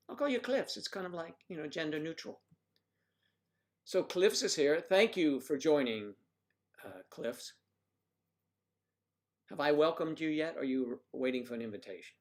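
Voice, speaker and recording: A man's voice: a little high, expressive, unhurried, long pauses. A man hosting a casual livestream, reading viewers' comments aloud and joking with them by name in a rambling, chatty way. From United States. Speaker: livestream host reading chat.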